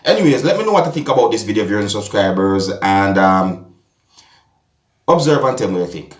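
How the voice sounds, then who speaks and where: A Jamaican man commenting on video clips for his vlog, talking deliberately and addressing his viewers over and over. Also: fairly low pitch, very animated, echoey room. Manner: repetitive, addresses "viewers and subscribers", slow and deliberate. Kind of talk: casual commentary.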